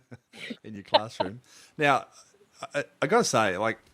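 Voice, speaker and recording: Rambling low-voiced male podcast host. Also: very animated, normal speed, fairly bright tone. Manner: loose and rambling, many fillers and restarts. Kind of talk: casual podcast interview.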